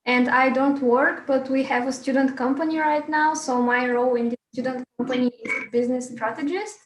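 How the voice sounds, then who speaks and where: A woman's voice: high voice, fairly even intonation, moderate pace, background noise. A young woman from Bulgaria, a student giving a brief, to-the-point introduction. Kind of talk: self-introduction in an online workshop. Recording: echoey room.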